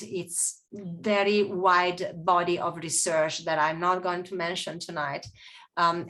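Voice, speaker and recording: A woman presenting a webinar as a nature coach, talking hesitantly with many pauses and fillers over a video call. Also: fairly low pitch, a bit bright. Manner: hesitant, many fillers, non-native phrasing.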